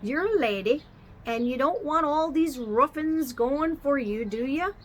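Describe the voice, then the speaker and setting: Storybook Cockney cabby, gruff yet kind, slow and a touch higher, as a woman reader puts him on. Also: fairly bright tone, slight background noise. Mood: coaxing. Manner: dropped h's, drawn-out words. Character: red-faced Cockney cab driver, middle-aged man, gruff but kindly.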